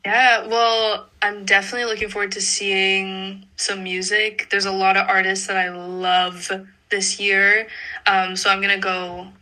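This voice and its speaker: Young woman, strikingly bright sharp tone, informal interviewee, lots of "like". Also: mid-range voice, little pitch movement, unhurried, low-quality recording. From Canada. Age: young adult. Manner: informal, peppered with "like". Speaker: pop singer being interviewed. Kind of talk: casual chat.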